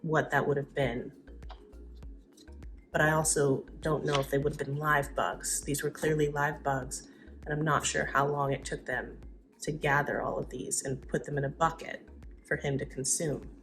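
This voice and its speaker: A woman reads a story aloud in a dramatic, expressive way. She slips into casual commentary between passages. Music plays underneath. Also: sits low, moderate pace, low-quality recording. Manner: expressive, acts out dialogue. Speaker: vlogger reading own childhood story.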